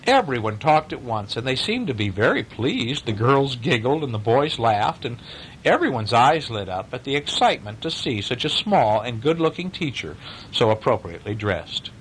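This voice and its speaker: A man reads a bedtime story in a middle-pitched voice with very lively intonation. He slips easily into chatty, questioning asides for a young listener. A faint rumbling noise runs under the recording. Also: normal speed, poor audio. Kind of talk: animated bedtime story reading with casual asides.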